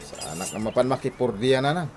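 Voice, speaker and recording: An actor playing a cheeky, whiny, hard-drinking grown son in a Filipino radio comedy drama, with a Filipino accent. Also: male voice, medium pitch, expressive, fast, darkish tone, faint music behind, lightly acted. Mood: teasing, whiny. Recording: noisy background.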